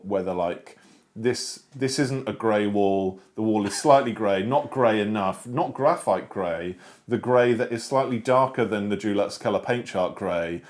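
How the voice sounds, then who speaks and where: Man in casual, jokey chat. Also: fairly low pitch. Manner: animated, jokey, acts out imagined dialogue. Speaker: show co-host. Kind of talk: casual chat about books.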